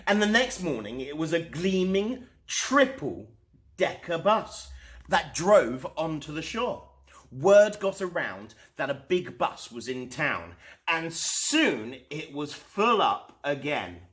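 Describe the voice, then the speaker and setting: A man reading a children's storybook aloud as host, expressively and with dramatic pauses. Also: on the high side. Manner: animated, unhurried, dramatic pauses.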